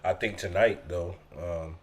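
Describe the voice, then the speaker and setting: A man's voice: deep voice, fairly even intonation, a little slow, a little hiss or hum. A man co-hosting a podcast in casual chat, stuffy from a summer cold, laid-back and slangy. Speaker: podcast co-host.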